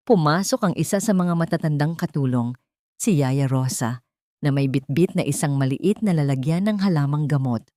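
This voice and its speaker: A woman narrating a dramatic scripted story in a measured, solemn, melodramatic way. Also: low voice, mellow, no room sound, studio quality.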